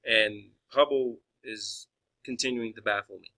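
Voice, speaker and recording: A man's voice: lowish voice, steady tone, a little slow, pushed and bright, poor audio. A man arguing in a phone conversation as a caller, pressing his points insistently and often restarting his phrases. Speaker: caller questioning a space agency official.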